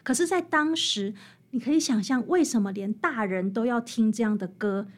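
A woman's voice: a little high, moderate pace. A woman with a Taiwanese Mandarin accent explaining things conversationally as an interview guest, animatedly walking through examples. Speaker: interview guest, author and music researcher.

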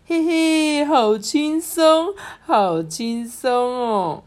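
A woman voicing a lazy, cheeky vegetable schoolboy in a storybook, taunting and gloating in drawn-out words. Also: mid-range voice, very animated, darkish tone, pitched above the performer's own voice.

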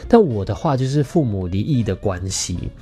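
A man's voice: on the low side, animated, moderate pace, warm tone, soft background music. A young man with a Taiwanese Mandarin accent tells personal stories in a chatty, playful, self-teasing way, like a podcast host. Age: young adult.

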